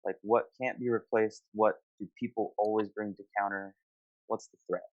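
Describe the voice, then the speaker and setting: A man's voice: fairly low pitch, flat delivery, moderate pace, darkish tone. A man casually hosting an online gaming panel, asking informal questions and affirming his guests' answers, on a dull voice-call recording. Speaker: host of a gaming discussion panel. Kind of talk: casual moderated online discussion.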